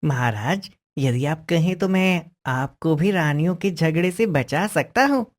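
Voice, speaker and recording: A woman plays a clever young boy from a moral-story cartoon. His voice is low, with lively swings of tone. He speaks very slowly and politely, sure of his persuasive wit. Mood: respectful, confident. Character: clever, confident young boy advising a king.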